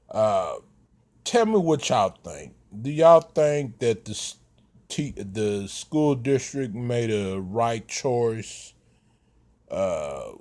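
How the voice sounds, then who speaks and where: Man, 30s, vlogger talking slow with lots of "uh"s, lowish voice. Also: darkish tone. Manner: slow, halting, many fillers and restarts. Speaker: vlogger giving commentary. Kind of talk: casual commentary.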